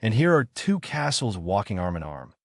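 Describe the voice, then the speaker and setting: A man's voice: fairly low pitch, big pitch swings, normal speed, vocal fry, dry recording, clean studio sound, heavily acted. A man voicing a curious, kindly young girl, chatty and full of wonder, in a storybook reading.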